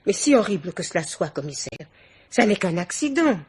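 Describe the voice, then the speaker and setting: An actress playing an imperious, chattering middle-aged society hostess in a theatrical, exclamatory French radio mystery play. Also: female voice, medium pitch, big pitch swings, a little fast, a little mellow, breathy edge. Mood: affronted.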